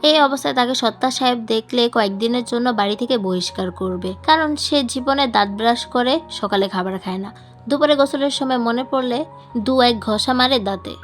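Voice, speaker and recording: Woman reading a novel out loud, kinda high voice, music behind her. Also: moderate pace. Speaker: audiobook narrator. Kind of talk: scripted story narration.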